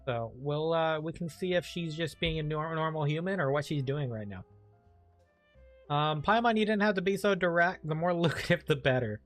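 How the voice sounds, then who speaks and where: A man's voice: on the high side, animated, moderate pace, a bit bright, soft background music. A man giving casual let's play commentary, thinking aloud in a hesitant, rambling way. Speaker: game streamer.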